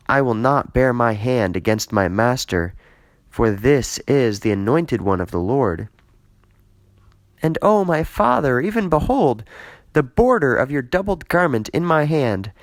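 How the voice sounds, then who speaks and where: A man's voice: mid-range voice, dry recording, pitched above the performer's own voice. A man reading scripture aloud, voicing a young man who pleads earnestly and reverently with his king in a raised voice.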